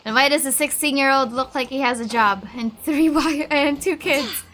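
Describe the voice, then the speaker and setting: High, bright-voiced woman gaming. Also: normal speed, slight background noise. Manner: informal, reacting aloud. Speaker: gaming streamer. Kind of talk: casual gameplay commentary.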